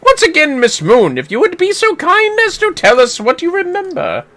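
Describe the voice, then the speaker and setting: A performer voicing a pompous, theatrical gentleman inspector with grand, archaic, florid delivery in a video game read-aloud. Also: very high-pitched, wildly swooping pitch, normal speed, voice pushed up, full character voice.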